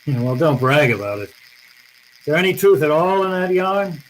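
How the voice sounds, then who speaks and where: An actor playing a tough, wisecracking hard-boiled detective in an old-time radio drama, curt, sardonic and slangy. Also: male voice, fairly high pitch, very animated, moderate pace, slight background noise, low-quality recording. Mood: suspicious, pressing.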